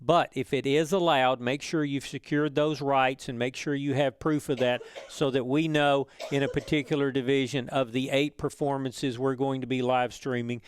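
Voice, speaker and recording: A man's voice: medium pitch, steady tone, moderate pace, hardly any pauses, slight background noise. A man co-presenting a webinar as an official, explaining procedures in a methodical, wordy way.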